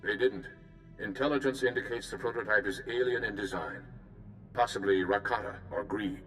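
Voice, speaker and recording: Man playing low-voiced, measured Jedi mission leader. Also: fairly bright tone, soft background music, close to an everyday voice. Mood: measured, serious. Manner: measured, formal, courteous. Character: calm, principled male Jedi mission leader.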